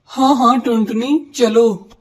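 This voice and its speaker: A young male cartoon pigeon acted by a woman with a fairly high voice. He speaks as an earnest husband and dutiful son. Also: steady tone, takes it slowly, breathy edge, low-quality recording. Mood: admiring. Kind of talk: Hindi cartoon dialogue.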